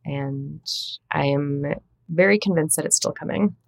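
Low, gravelly-voiced woman from Minnesota. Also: unhurried, no echo. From Minnesota, United States. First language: Latvian. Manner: reflective, storytelling, warm laughs. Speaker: translator and editor, interview guest. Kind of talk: casual interview conversation.

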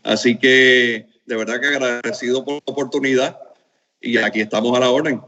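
A man's voice: medium pitch, steady tone, moderate pace, slight background noise. Earnest businessman, dull lo-fi sound. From Cuba, raised in Puerto Rico. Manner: warm, earnest, speaks in long flowing sentences. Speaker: guest, company founder. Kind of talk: interview answers.

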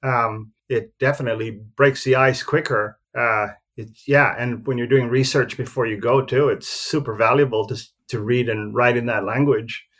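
A man in his fifties who grew up in the Philippines, a photographer talking about his career in a relaxed, rambling way with many fillers. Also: mid-range voice, moderate pace, hardly any pauses. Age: 50s. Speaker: guest, professional photographer. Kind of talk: casual interview talk.

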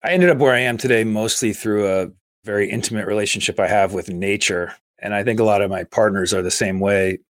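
A man in his forties from Massachusetts, a guest explaining his work in a steady, measured way during an interview. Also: on the low side, no echo, clean studio sound. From Massachusetts, USA. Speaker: guest, infrastructure investor. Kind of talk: conversational interview.

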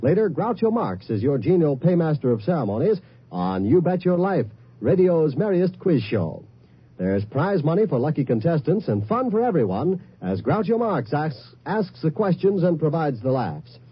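A male 1950s radio announcer with a highish, husky voice. He sells with polished emphasis and big swings of pitch on a slightly dull old broadcast. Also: sing-song, normal speed. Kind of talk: 1950s scripted promotional announcing.

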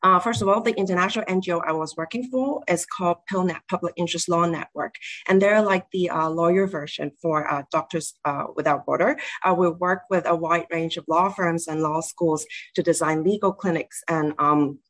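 A woman's voice: lowish voice, fairly quick, a bit bright. A woman speaking as a lawyer on a panel, introducing her work with frequent hesitations.

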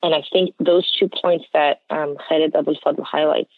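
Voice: medium pitch, fairly even intonation, moderate pace, vocal fry, no echo. An American interview guest speaking earnestly and conversationally, explaining points and often checking with "right?". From United States. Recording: narrow, tinny phone-line sound.

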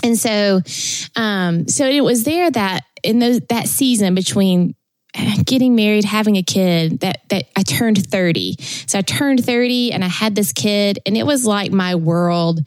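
A woman in her thirties from Tennessee talks as a podcast guest at a middle pitch, in a chatty, rambling way. She laughs often and fills pauses with "um" and "like". The sound is dry and close, with no room echo. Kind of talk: casual interview storytelling.

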